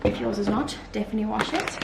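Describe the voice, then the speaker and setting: A woman's voice: mid-range voice, quick pace. A young woman chatting casually to camera in a day-in-the-life vlog, with lots of fillers and an upbeat tone. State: croaky from just waking up. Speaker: vlogger. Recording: echoey room with clear background noise.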